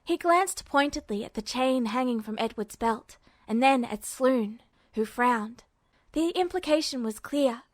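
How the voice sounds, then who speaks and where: High-voiced woman reading fanfiction. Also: animated, unhurried, dry recording. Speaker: fanfiction reader. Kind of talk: podfic narration.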